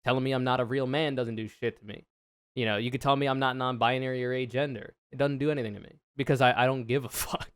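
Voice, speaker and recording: A man with a deep, smooth voice, reacting to a video in frank, casual commentary that is full of swearing. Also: steady tone, fast, dry recording, clean studio sound. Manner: frank, swears freely, thinks aloud.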